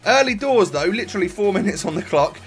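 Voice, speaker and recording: A young man talks through his football gameplay in a loose, rambling way, full of fillers and restarts. His voice sits a little high and sounds stuffy from a cold. Faint music plays underneath. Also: expressive, fast talker. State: congested from a cold. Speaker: gaming YouTuber. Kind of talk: casual gameplay commentary. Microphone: desk microphone.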